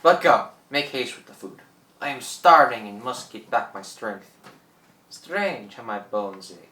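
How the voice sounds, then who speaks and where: An actor playing a boastful, menacing old pirate in a theatrical radio play. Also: male voice, medium pitch, expressive, a little slow, bright, forceful tone. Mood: gloating. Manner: theatrical, gloating, cackling. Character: boastful old French pirate, greedy and menacing, newly revived. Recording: roomy, distant microphone.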